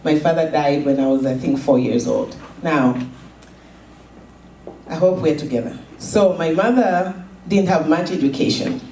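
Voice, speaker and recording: A middle-aged woman from Zambia, slowly telling a personal story at a fundraiser, with halting pauses and repeated words. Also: low voice, warm tone, slight background noise. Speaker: charity founder giving a speech. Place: event hall. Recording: echoey room, from a distance.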